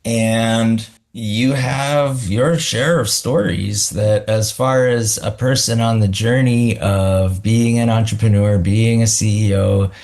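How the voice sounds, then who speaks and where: A man's voice: lowish voice, fairly even intonation, takes it slowly, few pauses, a little mellow. A man hosting a podcast interview, talking reflectively and searching for his words. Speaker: podcast host. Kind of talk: casual interview conversation.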